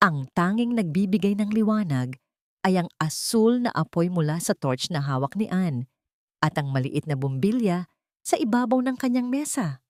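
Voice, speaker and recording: A woman narrates a dramatic scripted story in a low-pitched voice. The recording is very dry and close, with no room around the words. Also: dark tone, no room sound.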